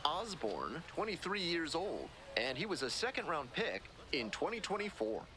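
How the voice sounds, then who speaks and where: A man's voice: a little high, moderate pace. A man voicing a male baseball play-by-play announcer in polished broadcast commentary. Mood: steady play-by-play. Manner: polished, lively announcer delivery. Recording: loud background game noise.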